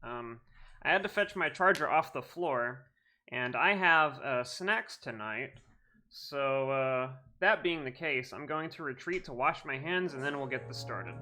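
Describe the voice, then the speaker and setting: A man's voice: mid-range voice, animated, measured pace, bright, forceful tone. A man chatting casually as a live streamer, with a playful, affectionate, slangy manner. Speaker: live streamer and hobby voice actor. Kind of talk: casual livestream chat. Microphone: streaming microphone. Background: game music.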